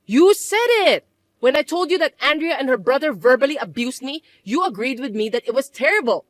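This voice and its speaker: A woman's voice: high-pitched, lively intonation, fast, a bit bright, no echo. A woman voicing a bossy, scornful older mother-in-law who scolds and accuses in an indignant, whiny way. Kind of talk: dramatized story voice acting.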